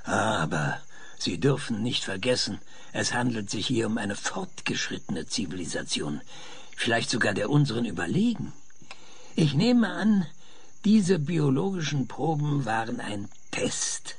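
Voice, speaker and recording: Excitable, frail elderly male professor for radio drama: husky, animated, emphatic delivery. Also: medium pitch, measured pace, full character voice. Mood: musing, insistent. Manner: emphatic, dramatic explaining with swelling intensity. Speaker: professor being interviewed. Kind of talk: German radio drama acting.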